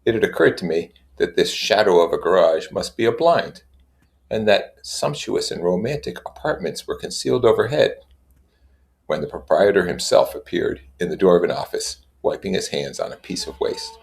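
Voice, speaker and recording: Very deep, gravelly male reader. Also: big pitch swings. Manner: measured reading with informal asides. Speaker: reader and commentator. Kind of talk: literary read-aloud with casual explanatory comments.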